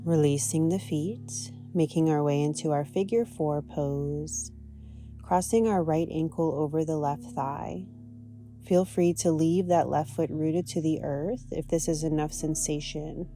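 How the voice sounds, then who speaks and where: A woman teaching yoga, guiding a practice in a gentle, soothing, unhurried manner. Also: sits low, fairly even intonation, soft background music. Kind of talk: guided yoga and meditation instruction.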